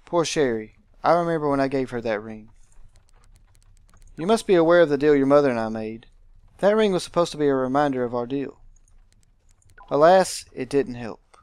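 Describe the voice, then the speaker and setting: A man's voice: medium pitch, expressive, darkish tone, clean tone, no echo, lightly acted. Man acting a grandiose, theatrical aristocratic guardian. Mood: disdainful, brooding. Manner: pompous, dramatic, deliberate.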